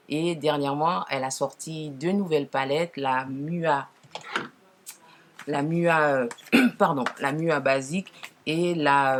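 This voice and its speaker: A woman with a naturally deep voice, lowered further by a cold, chats casually to viewers as she presents makeup, often correcting herself. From Martinique. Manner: informal, frequent self-corrections. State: deeper, hoarse-sounding from a cold. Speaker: makeup tutorial host.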